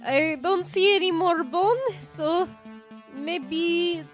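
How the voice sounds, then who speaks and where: A woman's voice: very high-pitched, slow pace, faint music behind. A woman playing a tabletop roleplaying game, mixing casual game chat with in-character lines.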